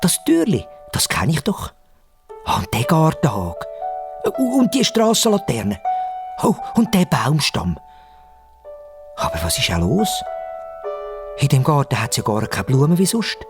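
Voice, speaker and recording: A man voicing an excitable, impatient Easter bunny in a children's radio play, with a Swiss German accent and eager exclamations. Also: fairly high pitch, unhurried, long pauses, music in the background, voice pushed up, full character voice. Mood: excited, puzzled. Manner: eager, exclaiming, wondering aloud. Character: excitable, impatient, easily startled young-hearted male Easter bunny.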